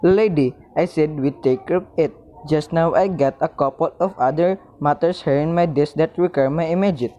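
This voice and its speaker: A woman's voice: low-pitched, moderate pace, warm tone, lightly acted. A woman playing a brusque, dismissive, busy police desk officer in an amateur radio play, on a dull, slightly noisy recording. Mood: curt, impatient. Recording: dull lo-fi audio with faint noise.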